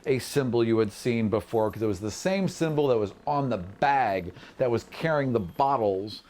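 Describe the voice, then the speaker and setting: A man narrating a tabletop fantasy game as game master, improvising in an animated, conversational way with vocal sound effects. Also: mid-range voice, normal speed.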